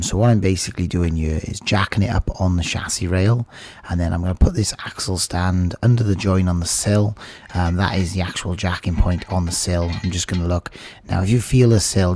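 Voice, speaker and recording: A man's voice: lowish voice, slight background noise. A British man in his thirties who is losing his voice, chatting casually as he walks through a DIY car repair tutorial. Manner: relaxed, chatty, filler-heavy explaining. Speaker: channel host, car mechanic. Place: small home workshop office indoors.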